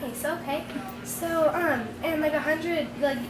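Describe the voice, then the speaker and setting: Woman, high voice, chatty interviewer, filler-heavy speech, echoey room, background noise. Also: measured pace, recorded from a distance. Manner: upbeat, heavy use of "like" and "um". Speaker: interviewer, radio show host. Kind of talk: casual interview questions. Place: backstage at a concert venue.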